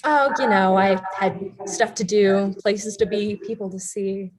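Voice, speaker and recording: A woman's voice: mid-range voice, measured pace, noisy background, lightly acted. A young woman in a staged play reading, playing a nosy, evasive girl with a flippant, deflecting manner.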